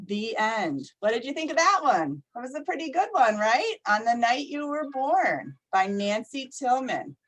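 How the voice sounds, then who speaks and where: A woman reading picture books aloud to young children, expressive and deliberate, often putting questions to her listeners. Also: a little high, poor audio. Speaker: storytime reader for young children. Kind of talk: animated picture-book read-aloud to children.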